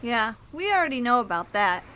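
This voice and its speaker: A woman performs an eager news reporter in a radio drama. Her voice is bright and lively in pitch, slightly distant, with faint ambient noise behind it. She speaks in a probing way. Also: brisk. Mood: flustered, eager.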